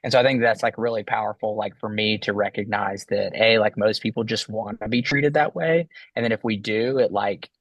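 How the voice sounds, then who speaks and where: A man's voice: lowish voice, fairly quick, few pauses, no room sound. A man who hosts a podcast, sharing his thoughts on a group call in a rambling way, full of "like". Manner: rambling, fillers of "like". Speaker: podcast host.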